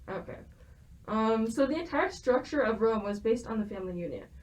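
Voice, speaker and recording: A woman's voice: on the high side, lively intonation, a little fast, poor audio. A young woman, a student, explaining a topic from prepared notes, with frequent hesitations and restarts. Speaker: student guest expert.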